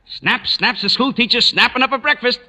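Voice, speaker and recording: A man's voice: very high voice, brisk, pushed and bright, exaggerated voice. Brash, piercing magazine man. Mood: brash, eager. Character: brash, glib magazine man pleased with slogans. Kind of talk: 1940s radio comedy acting.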